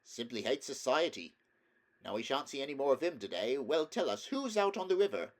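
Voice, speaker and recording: A man's voice: mid-range voice, sing-song, a little fast, voice pushed up, close to an everyday voice. A man voicing a cheerful, sociable male water rat in a storybook, chummy and full of exclamations. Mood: exasperated, gossipy. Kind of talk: storybook character voice in a read-aloud.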